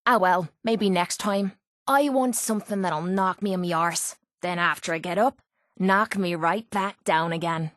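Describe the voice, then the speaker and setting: A woman's voice: on the low side, unhurried, a bit bright, no room sound, studio quality. A mouthy irish fighter girl for a game, scrappy and mocking, boozy tough type, no room sound. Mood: dry, thirsty. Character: brash, sarcastic, hard-drinking young woman brawler. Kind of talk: video game companion voice lines.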